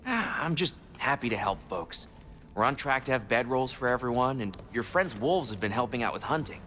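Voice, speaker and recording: A man's voice: mid-range voice, expressive, brisk, sharp tone, a little hiss or hum. An actor playing a friendly, eager and chatty young village man in a video game. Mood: upbeat, warm. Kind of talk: video game dialogue acting.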